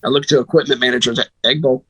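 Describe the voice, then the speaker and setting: Bright, husky-voiced sportscaster man. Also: mid-range voice, steady tone, quick pace, dry recording. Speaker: radio sports broadcaster, guest. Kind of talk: casual sports analysis, conversational.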